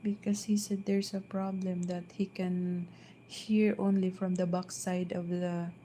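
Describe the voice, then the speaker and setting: Soft-spoken filipina lady chatting on a live stream at home, slow and flat, lots of ums, faint hum behind. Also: on the low side, low-quality recording. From Philippines. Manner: hesitant, many fillers and restarts. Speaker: vlogger. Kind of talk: casual live-stream chat. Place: downstairs at home.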